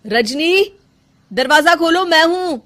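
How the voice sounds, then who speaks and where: A woman's voice: very high-pitched, lively intonation, slow pace, a bit bright, pitched above the performer's own voice, full character voice. A woman voicing a concerned young husband in a moral story, earnest and questioning. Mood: stern concern.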